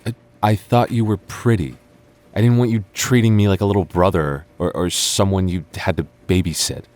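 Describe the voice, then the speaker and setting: A man's voice: sits low, fairly even intonation, moderate pace, darkish tone, close to an everyday voice. A man playing a defensive, teasing young childhood friend with a secret crush, stammering and bickering in an intimate boyfriend roleplay. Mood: sheepish, confessing. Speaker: ASMR roleplay voice actor.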